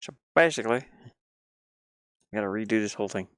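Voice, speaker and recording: A man's voice: lowish voice, animated, normal speed, a bit bright, no echo, studio quality. A man talking himself through his work in a casual think-aloud commentary, muttering playfully. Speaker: game developer streaming his pixel art work.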